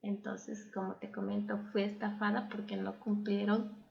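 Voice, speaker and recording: Young Ecuadorian woman, very creaky voice. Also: medium pitch, level tone, normal speed, a little mellow, highs cut off. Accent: Ecuadorian Spanish. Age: young adult. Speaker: interview guest. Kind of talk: conversational personal storytelling and advice.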